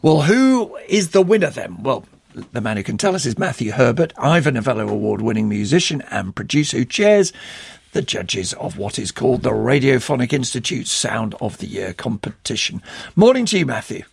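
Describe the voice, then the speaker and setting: Man, news presenter, gravelly, animated pitch, teasing. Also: normal speed, no room sound. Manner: lively, wry and teasing. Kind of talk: live radio interview.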